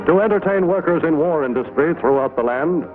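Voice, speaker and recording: A man's voice: on the high side, expressive, a little fast. An American man reading formal, declamatory scripted narration in a 1940s newsreel style, on an old film soundtrack. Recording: tinny 1940s film soundtrack, loud music. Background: music.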